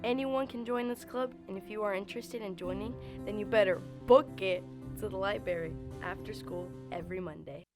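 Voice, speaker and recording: A woman's voice: medium pitch, brisk. Teenage female, school announcement, playful, music bed. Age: teens. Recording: voice over music.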